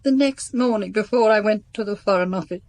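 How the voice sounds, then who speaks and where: An actress playing a devout, guarded housekeeper in a radio drama, speaking formally and haltingly. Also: female voice, mid-range voice, a little mellow, dry recording, lightly acted. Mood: distressed confession. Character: devout, guarded spinster housekeeper to a French count.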